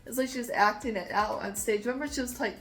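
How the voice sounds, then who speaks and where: A woman's voice: fairly high pitch, fast talker, soft background music. A woman vlogger and composer chatting enthusiastically, rambling with lots of "like" and "um". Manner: enthusiastic, rambling with many fillers. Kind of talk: casual chat.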